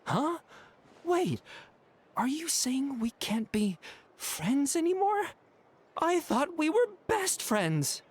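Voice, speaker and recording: A woman voicing a gentle, caring young best friend in a video game, eager to help. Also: on the low side, wildly swooping pitch, measured pace, a little hiss or hum. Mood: shocked, hurt. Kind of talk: video game character acting.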